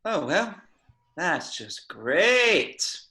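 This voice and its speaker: A man acts out a sceptical, sarcastic complainer in a zombie comedy script read. The delivery swings up and down in pitch, whiny and critical. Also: very animated, very slow, sharp tone, exaggerated voice. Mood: sarcastic, complaining. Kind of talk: amateur table read of a film script.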